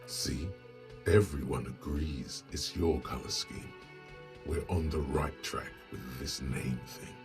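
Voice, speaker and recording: The voice inside a detective's head in a video game. It is extremely deep, grave and deliberate, narrating and advising with a knowing air over music. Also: male voice, steady tone, heavily acted. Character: grave male narrator, inner voice of a detective's mind.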